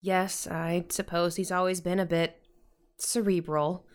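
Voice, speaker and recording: An actress playing a patient, tired middle-aged mother in a modern audio drama, speaking with measured, tactful firmness. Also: fairly low pitch, flat delivery, natural voice. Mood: weary, firm. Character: patient, weary middle-aged American mother, gently firm.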